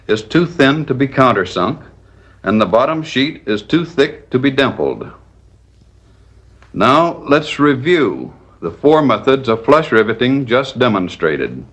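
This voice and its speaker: A man narrating a scripted 1940s instructional film in a measured, didactic, step-by-step manner. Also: medium pitch, a bit bright.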